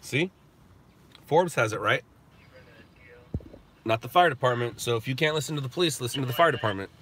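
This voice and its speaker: A man's voice: mid-range voice, animated, fairly quick, slight background noise. A middle-aged man hosting a live stream from his car, stuffed up and sniffling, chatting loosely as he answers viewer questions. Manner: rambling, answers chat questions out loud. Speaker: live stream host reading viewer chat. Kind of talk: casual chat. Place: inside a car while driving.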